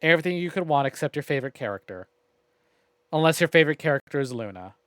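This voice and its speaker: A man's voice: a little high, lively intonation, fast, fairly bright tone, no echo, studio quality. A man streaming a visual novel game, reading aloud and commenting in a wry, conversational way. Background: faint game music.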